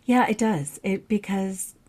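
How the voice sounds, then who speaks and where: A woman in casual, reflective conversation, thinking aloud in an expressive way, with Vietnamese as her first language. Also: mid-range voice, a little slow.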